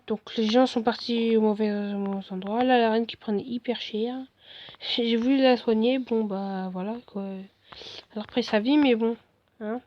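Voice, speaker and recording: A hoarse woman giving relaxed, rambling commentary over her own game replays, full of fillers and restarts. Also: on the high side, muffled recording, a little hiss or hum. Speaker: gaming video host. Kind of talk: casual gameplay commentary.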